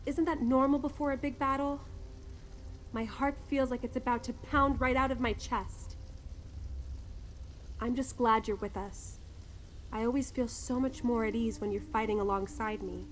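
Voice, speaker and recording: Woman acting a nervous, tender game ally, higher-pitched. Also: steady tone, a little slow, pauses often, a little hiss or hum, lightly acted.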